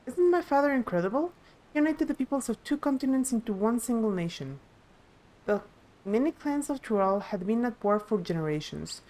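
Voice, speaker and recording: A woman's voice: on the high side, expressive, fairly quick, darkish tone, close to an everyday voice, rough recording. A woman voicing a proud, eager young princess who guides visitors in a video game, earnest and explanatory. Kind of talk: live video game dialogue reading.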